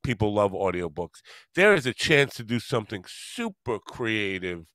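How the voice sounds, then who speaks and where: A middle-aged American man hosting a casual interview, talking in a halting, rambling way close to a studio microphone. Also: on the low side, very animated, sharp tone, no echo. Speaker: podcast host. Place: home studio. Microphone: microphone on stand close to mouth, headset.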